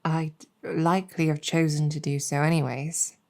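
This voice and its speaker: A woman voicing a weary, earnest demon general in an intimate audio roleplay, speaking reflectively with hesitant pauses. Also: low voice, flat delivery, a little mellow. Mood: tender, earnest.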